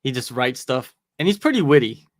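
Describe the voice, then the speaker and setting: A man's voice: mid-range voice, big pitch swings, a little fast, no echo, studio quality. A man who interviews fighters, chatting casually on a livestream in a loose, rambling way with lots of fillers. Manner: laid-back, rambling with many fillers. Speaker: MMA interviewer and podcast co-host.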